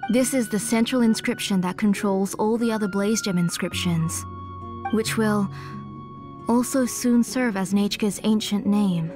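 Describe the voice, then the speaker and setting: An aging mother and craftswoman from a video game, played by an actress, speaks in a measured, earnest way. Her mid-pitched voice is weary yet resolute, with faint music underneath. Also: close to an everyday voice. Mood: calm, assured. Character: aging, devoted mother and master engraver, weary but resolute.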